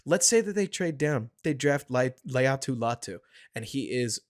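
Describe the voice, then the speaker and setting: Man, podcast host, excited, rhetorical questions, home mic. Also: mid-range voice, moderate pace. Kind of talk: casual sports podcast chat.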